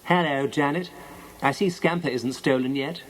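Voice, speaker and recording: A man's voice: fairly high pitch, moderate pace, lightly acted, low-quality recording. A man voicing a smug young girl in a children's storybook, proud and condescending. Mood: taunting. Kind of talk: children's storybook character reading.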